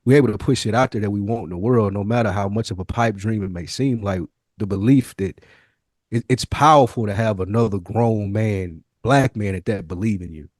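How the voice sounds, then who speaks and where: A man with a fairly low voice, recorded close and dry, chats as a podcast co-host. He talks in slangy, earnest, conversational phrases. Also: brisk, dry recording. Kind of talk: casual chat.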